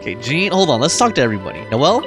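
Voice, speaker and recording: Animated man commenting on gameplay. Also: medium pitch, racing. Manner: reactive, muttering interjections, slangy. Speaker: game streamer. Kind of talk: casual live gameplay commentary. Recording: game music behind the voice.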